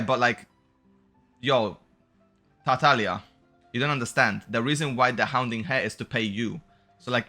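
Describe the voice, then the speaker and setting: A man giving casual, chatty and excitable playthrough commentary as a gaming streamer, full of slang. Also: mid-range voice. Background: video game music.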